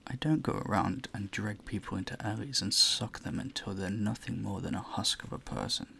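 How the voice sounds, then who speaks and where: A man playing a courteous, charming vampire in an intimate audio roleplay, soft-spoken, breathy and soothing, often close to a whisper. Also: fairly low pitch, normal speed, heavily acted. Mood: wistful, grateful. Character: gentle, courteous male vampire, charming and doting. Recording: faint ambient noise behind the voice.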